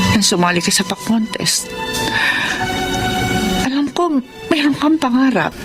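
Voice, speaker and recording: An actress playing a humble, loving older mother in an emotional radio drama, speaking in a halting, earnest and apologetic way. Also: mid-range voice, close to an everyday voice. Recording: music under the voice.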